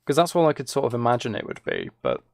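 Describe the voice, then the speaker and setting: A man's voice: medium pitch, wildly swooping pitch, fast talker, vocal fry, a little mellow, dry recording. A man chats casually with viewers as he plays a game, rambling and musing aloud. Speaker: live streamer. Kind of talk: casual chat with viewers while gaming.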